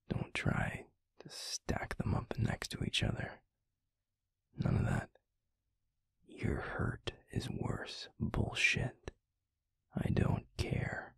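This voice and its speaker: A man playing a tender, protective werewolf boyfriend in an intimate roleplay audio drama, speaking in a hushed, breathy, pleading way. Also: no echo, clean studio sound, heavily acted. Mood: firm, protective. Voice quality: breathy, gravelly, husky low voice. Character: tender, protective, stubbornly caring adult male werewolf boyfriend.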